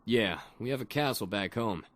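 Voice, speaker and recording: A man voicing a brash, gruff, impatient young man in a voiced story game. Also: fairly low pitch, expressive, moderate pace, a bit bright, no echo. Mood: gruff, casual.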